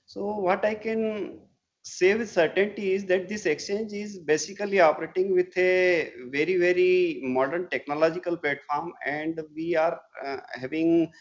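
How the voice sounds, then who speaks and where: A man with an Indian accent answering as a company executive on an earnings call, in a formal, measured way that repeats words for emphasis. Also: high-pitched, steady tone. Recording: muffled conference call audio.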